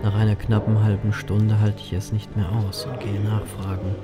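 Grim reaper antihero played by a man, lowish voice, terse and wry, horror audio drama over prominent music. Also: little pitch movement, moderate pace, natural voice. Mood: brooding. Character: grim male ferryman reaper, world-weary, streetwise. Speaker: narrator and lead of an audio drama. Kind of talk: first-person horror audio drama narration.

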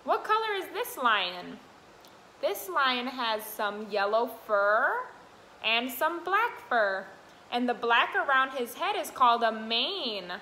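High, bright young woman teaching toddlers. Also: sing-song, takes it slowly. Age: young adult. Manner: sing-song, addressing small children with simple questions. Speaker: toddler classroom helper. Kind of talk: children's read-aloud and teaching to camera. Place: indoor classroom, sitting on the floor. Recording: roomy echo, faint room noise.

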